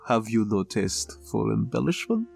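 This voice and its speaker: An actor in an audio drama playing a pretentious, theatrical crime boss who tells tall tales in grandiose, formal language. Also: male voice, fairly low pitch, big pitch swings, moderate pace, creaky, darkish tone, faint music behind, full character voice. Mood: pompous storytelling. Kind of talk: scripted audio drama acting.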